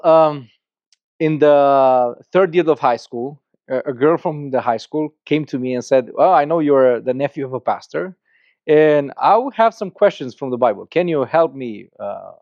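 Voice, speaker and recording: A man's voice: a little high, expressive, normal speed, darkish tone, no room sound. A middle-aged man from Romania with a Romanian accent, a podcast guest telling his story in earnest, with many restarts and repeated words. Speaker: guest, church planter. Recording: slightly muffled dry podcast audio.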